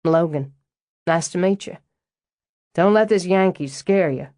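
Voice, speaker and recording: A laid-back man with a drawl, as a woman narrator puts him on, teasing and flirtatious as he talks. The voice sits on the low side, recorded very dry and close. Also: unhurried, dark tone, no room sound, close to an everyday voice. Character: easygoing flirtatious southern man, teasing landscaper.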